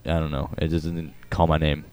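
A young man in casual chat, relaxed, often repeating his words while he thinks. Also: extremely low pitch, normal speed, clear, resonant tone.

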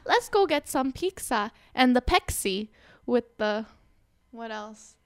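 Young woman radio host, chatty and joking, smooth clear voice, dry studio sound. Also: a little high, animated, slow, no echo. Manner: chatty and joking, with filler words. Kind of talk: casual radio chat.

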